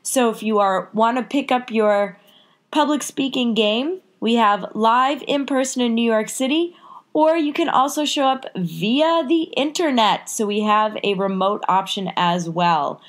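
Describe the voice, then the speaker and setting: A woman's voice: a little high, a little slow. Stuffy-nosed woman podcast host. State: stuffed up with a cold. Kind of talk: casual podcast intro and interview.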